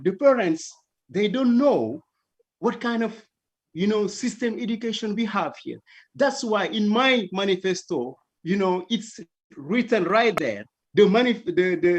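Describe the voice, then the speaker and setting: A man, an election candidate, answering in an online panel debate, halting and repeating words, then pressing his point emphatically. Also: high-pitched. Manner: hesitant, repeats words while searching; grows emphatic and louder.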